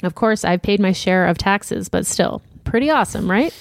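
A woman's voice: medium pitch, expressive, moderate pace, creaky, no echo. Woman co-host reading on a podcast. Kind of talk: reading a listener email aloud.

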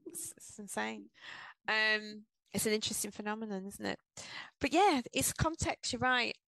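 A woman with an English accent softened by years in Australia, co-hosting a casual podcast discussion and making her points with rhetorical questions. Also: medium pitch, normal speed, creaky, dry recording, poor audio. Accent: English accent with some Australian. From England. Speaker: podcast co-host.

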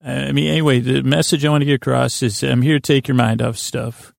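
A man hosting a sleep podcast in a meandering monologue, stumbling over words, circling back and gently goofy and self-deprecating. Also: medium pitch, flat delivery, a little fast, creaky, no room sound, studio quality. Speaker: podcast host.

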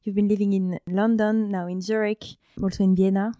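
A woman's voice: medium pitch, level tone, a little fast, warm tone, clear, resonant tone, no room sound, clean studio sound. A woman interviewing a guest in a casual conversation, asking questions and giving short acknowledgments.

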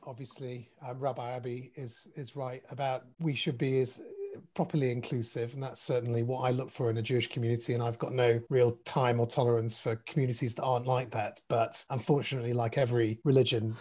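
Man, British accent, mellow relaxed tone, medium pitch, reflective interview guest, hesitant with repeated words. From Manchester, England. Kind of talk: casual, reflective interview talk.

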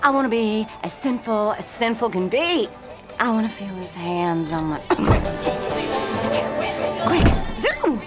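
A woman's voice: medium pitch, lively intonation, slow pace, long pauses, over music. An actress playing an earnest, romantic young Southern woman in a 1930s radio-style audio drama, with a Southern accent. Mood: giddy.

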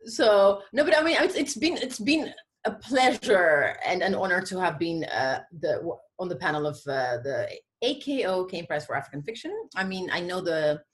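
A woman from Ethiopia chatting as an interview guest, hesitant and self-deprecating, often restarting her thoughts. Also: lowish voice. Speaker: podcast guest, literary editor and prize judge. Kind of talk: casual interview chat.

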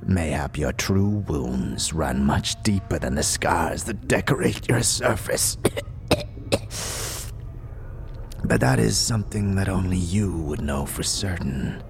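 A man playing a frail, weary old scribe in a fantasy audio drama, speaking solemn archaic lines with a breathy, wheezing, whispery rasp. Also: low voice, animated, full character voice. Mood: probing, weary. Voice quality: breathy, wheezing, rattling with whispered trailing phrases. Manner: slow, solemn, archaic formal speech. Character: frail, weary, ancient undead-order scribe, solemn and contemptuous. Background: music behind the voice.

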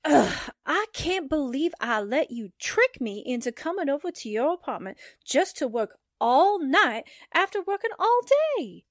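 An actress playing a perky young secretary who complains melodramatically, in 1940s radio drama style. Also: female voice, sits high, very animated, a little slow, few pauses, no room sound, studio quality, heavily acted. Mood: exasperated, playful. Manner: melodramatic good-natured complaining.

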